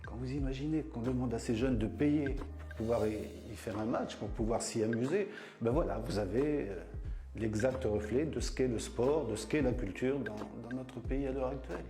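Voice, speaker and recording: A man's voice: medium pitch, normal speed, over music. Emphatic man in an echoey room. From France. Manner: emphatic, repeats words for effect. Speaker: association leader. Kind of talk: interview monologue.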